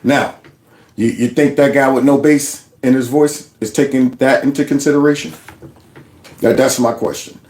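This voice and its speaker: Animated commentary host, echoey. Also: male voice, mid-range voice, normal speed. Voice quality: animated, repeats words. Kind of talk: reaction commentary.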